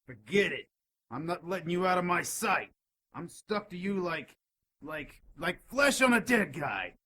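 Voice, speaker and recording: Cocky demon snitch for radio play: bright, rough-edged, sleazy, indignant wisecracker. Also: male voice, sits high, very animated, a little slow, full character voice. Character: whiny, cocky, wisecracking male demon informant. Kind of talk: audio drama acting.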